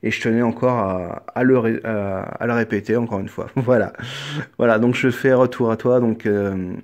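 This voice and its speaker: A man's voice: fairly low pitch, fairly even intonation, moderate pace, darkish tone. A man chatting casually as an amateur radio operator, earnest and hesitant, often repeating himself as he goes.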